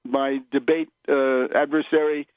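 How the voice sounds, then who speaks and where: A male radio host giving unscripted political commentary in an emphatic, opinionated manner, stressing his phrases deliberately. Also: mid-range voice, flat delivery, phone-quality sound, a little hiss or hum.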